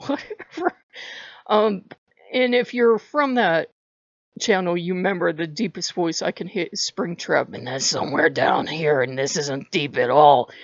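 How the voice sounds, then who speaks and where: A woman with a high voice, talking casually to camera in a chatty, rambling way with frequent laughs. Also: vocal fry, dry recording, dull audio. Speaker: spiritual vlogger.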